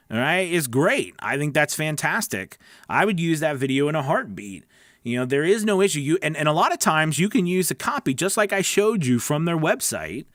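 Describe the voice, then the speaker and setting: A man's voice: a little high, no echo, studio quality. A man hosting a voice-over training livestream, chatting casually with viewers and answering their comments in an encouraging, emphatic, conversational way.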